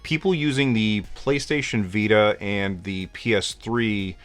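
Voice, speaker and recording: A man in his forties, hoarse and stuffed up from a cold, hosting a gaming news show in a chatty way with many fillers. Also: on the low side, a little slow. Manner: gestures with his hands while talking. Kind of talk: casual video commentary. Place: home game room lit with colored lights.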